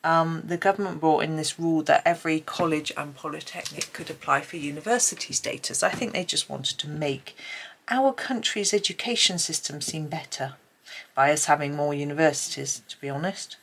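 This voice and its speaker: A low, gravelly British woman thinking aloud at a craft table, rambling hesitantly to whoever is colouring along at home. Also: moderate pace, fairly bright tone, a little hiss or hum. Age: middle-aged. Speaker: colouring tutorial host. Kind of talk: casual rambling chat while colouring. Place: indoors at a desk.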